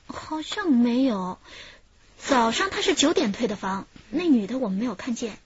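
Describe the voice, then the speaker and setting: Young female receptionist played by a woman: very high, lively, helpful, radio drama, muffled sound. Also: normal speed, slight background noise, close to an everyday voice.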